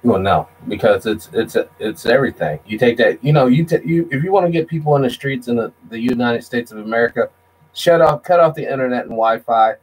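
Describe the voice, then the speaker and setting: A man's voice: mid-range voice, a little fast, slight background noise, low-quality recording. A man hosting a casual talk show, speaking bluntly with slang. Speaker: host. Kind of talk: casual discussion.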